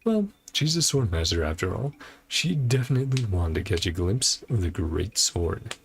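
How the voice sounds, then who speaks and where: A man's voice: fairly low pitch, big pitch swings, moderate pace. A man casually commentating a video game playthrough, reading bits of on-screen text aloud in clipped fragments over music.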